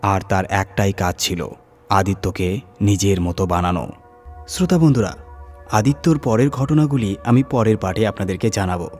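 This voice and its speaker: Horror narrator and host: male, low-pitched, dramatic scripted reading over music. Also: moderate pace, darkish tone.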